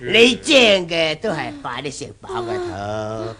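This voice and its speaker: An actor playing a sly hunchbacked vagrant kidnapper with a Hong Kong Cantonese accent, leering and wheedling in a 1970s radio drama. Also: male voice, on the high side, wildly swooping pitch, measured pace, crowd noise. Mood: taunting.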